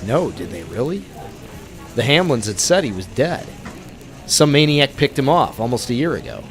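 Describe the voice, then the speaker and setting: An actor playing a young, slyly innocent speakeasy owner in a 1920s old-time radio drama. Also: male voice, mid-range voice, sing-song, normal speed, faint music behind. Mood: feigned innocence.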